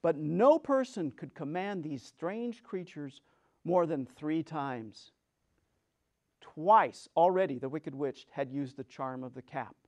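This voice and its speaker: Higher-voiced man giving dramatic storybook narration. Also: expressive, slow. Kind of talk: dramatic reading of a children's story.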